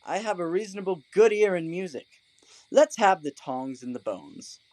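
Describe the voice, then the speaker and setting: Guy playing a silly pompous donkey man, voice swooping all over, fairly high, dry studio sound, stage comedy. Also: lively intonation, moderate pace, darkish tone, no room sound, low-quality recording. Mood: contented, drowsy. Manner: pompous, mock-courtly, leisurely. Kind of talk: Shakespearean comic audio drama.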